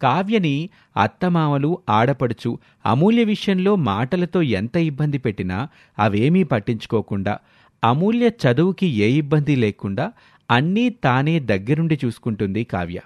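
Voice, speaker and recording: Guy narrating a moral story, scripted storyteller style, middle pitch, dry close recording. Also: sing-song, moderate pace, darkish tone, dry recording.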